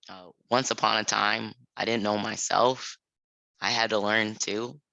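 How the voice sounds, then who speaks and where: A man on a panel, calmly reading a reflective personal story at a library event. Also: fairly low pitch, creaky, no echo, dull audio. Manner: calm, measured, reflective.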